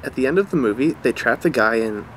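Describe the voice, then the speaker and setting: An eager, goofy teenage boy, played by a man chatters fast in a lively, highish voice. It is audio drama acting with faint street ambience behind. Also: a little hiss or hum, lightly acted, low-quality recording. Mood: enthusiastic. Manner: chatty, enthusiastic.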